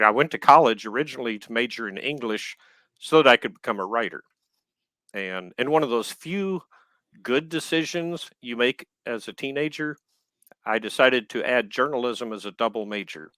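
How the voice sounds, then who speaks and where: An older man with a Southern drawl speaks over a video call, taking his time with ums and a dry wit. His tone is bright, and the sound is close and dry with no room around it. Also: medium pitch, no room sound. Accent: Southern American. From Texas. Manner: unhurried, hesitant with many ums, dry humor. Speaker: author guest. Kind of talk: live video chat interview.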